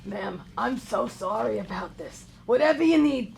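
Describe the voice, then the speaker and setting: A woman plays a weary, earnest middle-aged man who runs a bar. The voice is high and very creaky, performed live on stage with faint noise in the room. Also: lively intonation, normal speed, darkish tone, poor audio. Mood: flustered, placating. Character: weary, earnest 57-year-old male bar owner. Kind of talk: live comedy table read.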